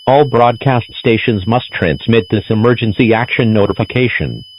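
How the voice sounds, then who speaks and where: Male actor as impersonal alert-system announcer, lowish pitch, formal scripted delivery, faint background noise. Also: moderate pace, close to an everyday voice, poor audio. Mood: formal, official.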